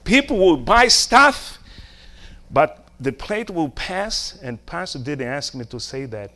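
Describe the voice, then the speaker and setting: A middle-aged Brazilian preacher delivers a sermon in a slightly high male voice. He takes his time, breaking his thoughts into short emphatic phrases and putting questions to his listeners in a Brazilian accent. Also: very animated, slow, pushed and bright. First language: Portuguese.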